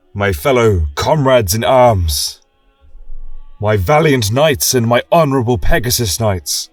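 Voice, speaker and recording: Guy doing a weary fantasy king giving a big solemn speech, rough voice, echoey with music behind. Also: lowish voice. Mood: weary, warm. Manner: solemn, declamatory oratory. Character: weary, passionate warrior king addressing his people. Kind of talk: fantasy audio drama speech. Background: choral music.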